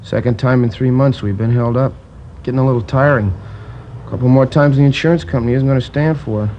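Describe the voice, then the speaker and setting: An actor playing a talkative, indignant liquor store manager recounting a robbery in a 1950s radio crime drama, rambling with hesitations. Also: male voice, medium pitch, steady tone, quick pace, a little mellow, a little hiss or hum, close to an everyday voice. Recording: dull old radio transcription.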